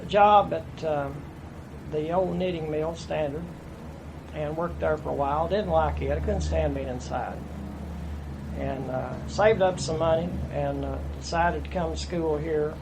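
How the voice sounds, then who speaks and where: Gravelly older-sounding Tennessee man. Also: on the high side, a little slow. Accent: East Tennessee. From East Tennessee. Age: 50s. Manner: plainspoken, folksy storytelling with restarts. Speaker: interviewee, veteran. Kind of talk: oral history interview. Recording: noisy room recording.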